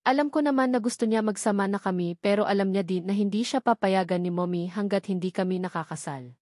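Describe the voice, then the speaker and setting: A woman's voice: medium pitch, fast talker, mellow, no room sound, clean studio sound. A woman with a Filipino accent reading a first-person novel as a scripted narration. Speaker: audiobook narrator.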